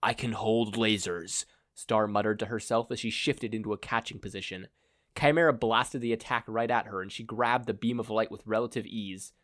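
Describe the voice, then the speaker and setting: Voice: medium pitch, a little fast, a bit bright, no echo, clean studio sound. A narrator reading a scripted fan fiction story aloud. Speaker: podfic narrator.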